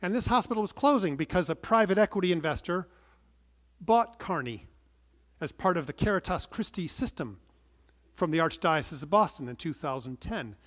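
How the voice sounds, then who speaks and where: A middle-aged man preaching a sermon from the pulpit, earnest and measured, stressing some words and letting phrase endings fall soft. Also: sits high, animated. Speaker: pastor. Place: large wood-panelled church, indoors. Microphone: pulpit microphone. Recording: long church reverb.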